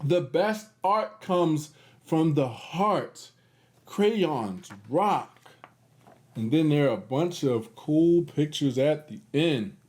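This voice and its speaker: A man's voice: on the high side, expressive, takes it slowly, darkish tone. A man hosting a children's read-aloud, reading a picture book expressively with casual, encouraging asides.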